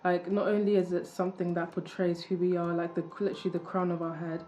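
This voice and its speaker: A young woman speaking earnestly in an interview, filling pauses with "like" and "um". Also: on the low side, flat delivery, darkish tone, a little hiss or hum. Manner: earnest, with many fillers. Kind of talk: casual reflective talk.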